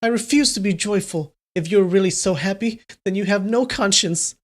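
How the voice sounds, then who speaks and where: A man reading aloud and voicing a distraught young woman in a novel, with a shrill, wailing, petulant delivery. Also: moderate pace, close to an everyday voice. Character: young woman, weeping and stubborn.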